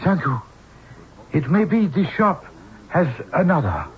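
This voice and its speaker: An actor playing a grave, courteous Japanese businessman in a radio drama, speaking halting, formal English with a Japanese accent. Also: fairly high pitch, very animated. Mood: grave, polite. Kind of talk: old-time radio drama acting. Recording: tinny, noisy old radio broadcast.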